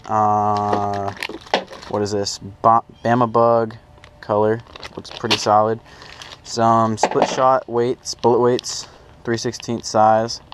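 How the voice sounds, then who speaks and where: A young man chatting casually and informally as he vlogs about fishing, recorded outdoors on an action camera. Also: on the low side. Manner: informal, slangy. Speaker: fishing vlogger. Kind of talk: casual first-person vlog chat. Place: outdoors, at a car trunk and on a small pond boat. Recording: clearly noisy outdoor action-camera audio.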